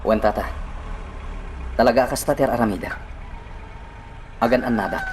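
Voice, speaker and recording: A man's voice: mid-range voice, normal speed, darkish tone, slight background noise, lightly acted. A man acting a determined fighter facing enemies in an Ilocano radio drama.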